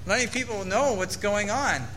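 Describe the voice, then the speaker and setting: A man's voice: sits high, moderate pace, fairly bright tone, a little hiss or hum. A man preaching a sermon to his church, earnestly reading scripture aloud and explaining it. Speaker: pastor.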